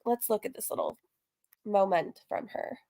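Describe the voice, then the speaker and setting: A woman's voice: mid-range voice, wildly swooping pitch, moderate pace, vocal fry, dark tone, no echo. A woman hosting a reaction stream, talking casually to her viewers in a teasing, mocking manner. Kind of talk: casual commentary to live viewers.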